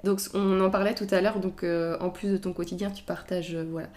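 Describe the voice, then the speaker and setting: A woman's voice: fairly low pitch, level tone, brisk, a little mellow. A woman from Mayenne in France with a French accent, hosting a casual conversational interview in an informal, searching way. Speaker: podcast interviewer, host.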